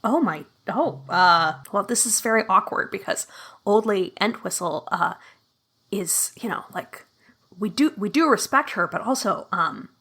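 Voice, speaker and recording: A woman plays a tabletop mystery as a guest, chatting casually and laughing often. Her voice is bright and forward, at a middle pitch for a woman. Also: animated, a little slow, slightly rough. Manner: chatty, laughs often, slips into a posh in-character voice. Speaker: guest player. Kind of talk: casual play chat.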